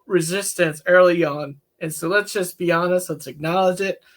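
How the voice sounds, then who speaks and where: A man talking as a counselor in a casual, candid discussion, with a relaxed, folksy manner. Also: high voice, moderate pace. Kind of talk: casual podcast-style discussion.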